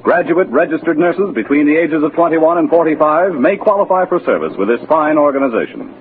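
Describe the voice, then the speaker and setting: Animated, high-voiced vintage male radio announcer. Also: fairly quick, a little hiss or hum. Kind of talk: 1940s scripted radio announcing. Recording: muffled 1940s radio recording. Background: loud organ music.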